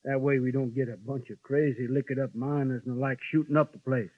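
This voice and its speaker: A man's voice: mid-range voice, steady tone, fast, no echo, natural voice. A man doing a frontier town boss, folksy drawl, veiled threat, dry close sound, muffled old radio. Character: genial but menacing frontier town boss. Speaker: town boss who lays down the law. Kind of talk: 1950s radio drama acting.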